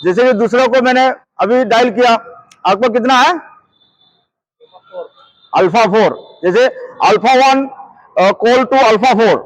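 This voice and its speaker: A man's voice: very high-pitched, unhurried, long pauses, no room sound, rough recording. A man teaching as a security trainer, repeating points and often checking that listeners follow. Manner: repetitive, checks understanding often. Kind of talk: hands-on instructional lesson.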